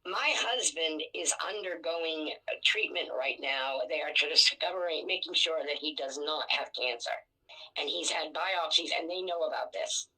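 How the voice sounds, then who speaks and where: A woman with a rough, husky voice and a bright, hard edge, on the lower side for a woman. She vents in a casual, emotional flow, swearing freely and putting herself down. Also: moderate pace, rough recording. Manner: blunt, profane, self-deprecating.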